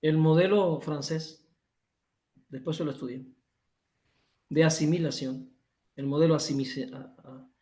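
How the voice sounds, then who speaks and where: A man's voice: fairly high pitch, fairly even intonation, measured pace, long pauses, mellow, reverberant. A man from Cuba with a Cuban accent, giving an emphatic online lecture over a video call, restating his points and correcting himself often. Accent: Cuban Spanish.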